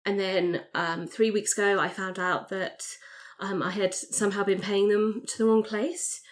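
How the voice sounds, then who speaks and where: A woman's voice: medium pitch, level tone, moderate pace, close to an everyday voice. A woman playing an evasive, flustered woman in an improvised tabletop roleplay, stammering and hesitant. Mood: flustered, defensive. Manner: hesitant, stammering, self-interrupting.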